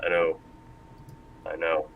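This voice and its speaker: A man's voice: low voice, completely flat delivery, slow pace, narrow, tinny audio, a little hiss or hum. A man hosting a casual panel discussion, talking informally before a live room. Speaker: panel host.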